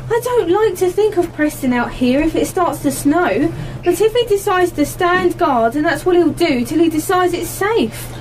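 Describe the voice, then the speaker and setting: A woman playing a fond, concerned dog owner in an amateur radio play, earnest and expressive. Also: very high-pitched, moderate pace. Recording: noisy live amateur radio broadcast recording.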